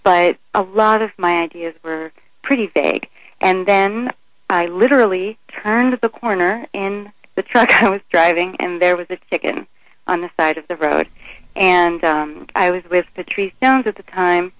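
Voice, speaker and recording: A woman being interviewed on talk radio as the co-founder of a sanctuary, answering thoughtfully with frequent ums and pauses. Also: on the low side, a bit bright, no room sound, low-quality recording. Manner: thoughtful, hesitant with many ums. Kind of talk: radio interview over the phone.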